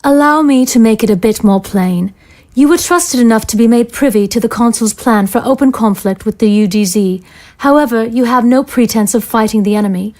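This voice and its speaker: A woman's voice: fairly high pitch, a little mellow, close to an everyday voice. A woman acting in an audio drama as a cold, ruthless scientist in authority, speaking in formal, sardonic phrases. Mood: coolly accusing. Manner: formal, sardonic, measured wording.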